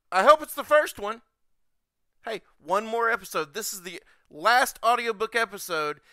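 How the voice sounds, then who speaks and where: Bright, high-voiced male podcast host. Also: clean tone, no room sound, clean studio sound. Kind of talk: casual scripted podcast introduction.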